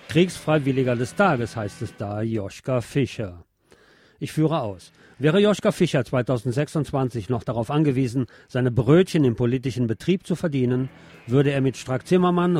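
Wry man reading over music, mellow voice. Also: mid-range voice, husky. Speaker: radio host reading news and press commentary. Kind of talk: reading articles aloud with sardonic asides.

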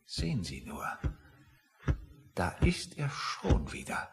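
An actor in a radio drama playing a put-upon, fussy gentleman who speaks in an aggrieved, formal, plaintive way. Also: low voice, wildly swooping pitch, takes it slowly, gravelly, full character voice.

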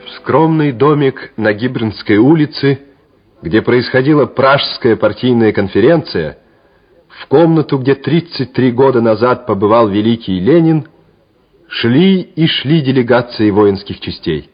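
A man narrating a Soviet war radio play in a measured, solemn, declamatory manner. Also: medium pitch, lively intonation, husky. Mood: lofty, reverent. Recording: dull vintage radio play recording, loud music. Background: music.

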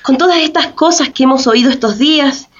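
Actress as a high-voiced dreamy, drowsy woman. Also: a little fast, muffled recording, close to an everyday voice. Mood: dreamy, wistful. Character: drowsy, dreamy woman listener, hopeful and easily startled. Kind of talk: radio theatre dialogue.

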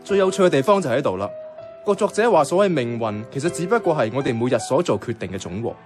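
An actor playing an eager young friend who philosophises in a Cantonese radio drama, playfully earnest, with a Hong Kong accent. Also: male voice, medium pitch, animated, fast talker, close to an everyday voice. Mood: earnest explaining. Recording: music clearly behind the voice. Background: music.

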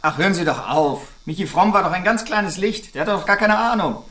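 An actor playing a smug, defiant activist leader under accusation in a radio crime drama, condescending and mocking with veiled menace. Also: male voice, sits high, brisk, pushed and bright, far from the mic, faint music behind. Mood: scornful. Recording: echoing room, away from the mic.

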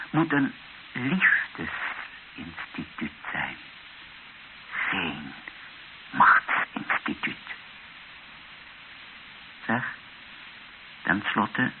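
A man's voice: fairly low pitch, full character voice. Een man die in een hoorspel een broze, plechtige bisschop speelt die nadrukkelijk en haperend zijn laatste boodschap uitspreekt. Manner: halting, solemn, emphatic repetitions. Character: frail, solemn dying Belgian bishop giving his last testament. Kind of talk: radio play acting. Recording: muffled, hissy old radio recording.

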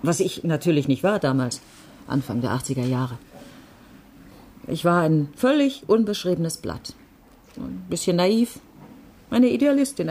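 An actress playing a bitter, sardonic middle-aged former activist in a radio drama, speaking in a wry, halting way. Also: female voice, sits low, dark tone, a little hiss or hum. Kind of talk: naturalistic radio drama dialogue.

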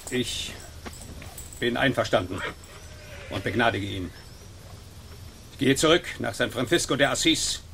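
An actor playing a haughty, easily offended governor in a pompous, theatrical German radio drama style. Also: male voice, medium pitch, pushed and bright, slight background noise. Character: haughty, offended male governor, grudgingly yielding.

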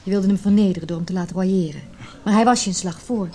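Actress as a mellow-voiced, resolute, unhappy wife. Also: female voice, mid-range voice, fast talker, close to an everyday voice. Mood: cool, firm. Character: resolute, unhappy wife leaving her marriage. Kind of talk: radio drama acting, dialogue and reflective monologue.

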